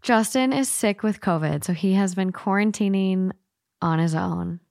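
A woman's voice: medium pitch, moderate pace, vocal fry, a little mellow, no echo, clean studio sound. A woman co-hosting a casual podcast and reading out listener letters in an upbeat, matter-of-fact way. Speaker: podcast co-host.

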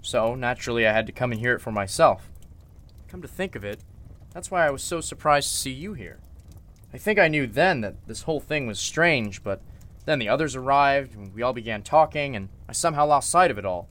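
Man playing courteous lawyer, lively pitch. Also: close to an everyday voice. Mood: thoughtful, concerned. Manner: polite, measured, slightly formal. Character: courteous, level-headed male lawyer. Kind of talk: old-time radio drama acting.

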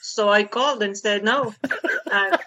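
A woman's voice: medium pitch, measured pace, low-quality recording. A woman from Israel with an Israeli accent, a stand-up comedian chatting in an interview, laughing often and joking about herself. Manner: laughs often, tells jokes in a relaxed, self-mocking way. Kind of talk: casual interview chat.

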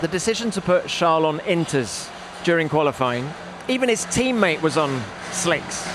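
Higher-voiced male host over outdoor noise. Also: lively intonation, normal speed. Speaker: podcast host and interviewer. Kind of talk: motor racing review chat and interviews. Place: outdoors in a race paddock. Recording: clear outdoor background noise. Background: outdoor urban and vehicle noise.

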